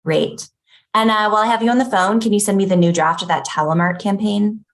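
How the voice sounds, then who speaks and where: A woman's voice: mid-range voice, fairly even intonation, fairly quick, close to an everyday voice. A woman playing a controlling, self-important ad agency boss in a comedy table read, curt and commanding with a touch of pomposity. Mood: demanding.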